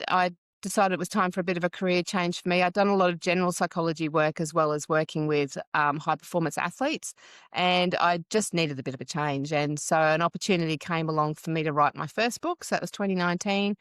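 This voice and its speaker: Smooth, chatty woman in her fifties. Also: on the low side, dry recording, clean studio sound. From Wales. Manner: chatty, storytelling, frequent ums. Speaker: podcast guest, psychologist. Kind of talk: casual interview chat.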